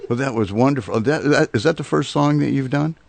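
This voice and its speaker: A man hosting a radio interview, asking questions in a relaxed way and backing the guest with steady affirmations. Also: mid-range voice, a little fast, creaky. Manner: conversational, prompting with frequent affirmations. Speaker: radio host. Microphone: studio microphone.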